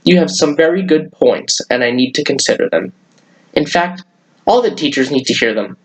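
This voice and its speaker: A man's voice: fairly high pitch, lively intonation, moderate pace, natural voice, rough recording. Man reading as a thoughtful female schoolteacher. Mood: considerate.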